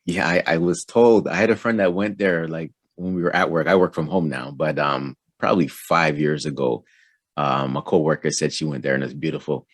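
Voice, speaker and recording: A man hosting an interview show, asking relaxed, friendly questions with plenty of fillers. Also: extremely low pitch, normal speed, dry recording, clean studio sound. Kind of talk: casual interview.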